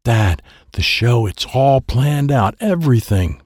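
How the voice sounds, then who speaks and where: An anxious young boy acted by a man tells his adventure in an audiobook. He speaks in simple, breathless phrases that leap up and down in pitch. The sound is close and dry. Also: expressive, slow, a little mellow, dry recording. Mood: urgent, pleading. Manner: simple, breathless present-tense childlike phrasing. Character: excitable, anxious little boy telling his own adventure. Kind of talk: dramatic audiobook narration.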